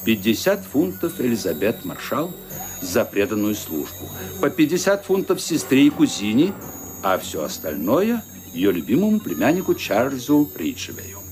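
A man narrating a radio mystery play with suspenseful, deliberate phrasing and dramatic emphasis. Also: medium pitch, huge pitch swings, darkish tone. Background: music.

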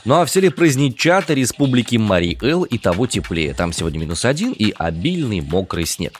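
A man's voice: lowish voice, sing-song, a little fast, slight background noise. A man from Omsk co-hosting a morning news podcast, bantering in a playful, emotional, exclamatory way. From Omsk, Russia. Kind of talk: lively conversational news banter.

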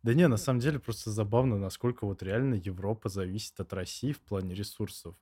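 A young man with a slightly hoarse voice, chatting casually as a podcast co-host in slangy, sweary, rambling talk. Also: fairly low pitch, fast, dark tone, no room sound, clean studio sound. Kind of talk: casual chat with heavy swearing.

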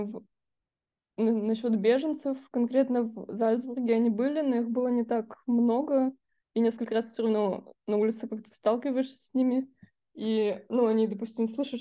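A woman's voice: fairly high pitch, dark tone, highs cut off. A young woman from Russia, an exchange student, answering interview questions in a hesitant, thoughtful way. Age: young adult. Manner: hesitant, thoughtful, many fillers.

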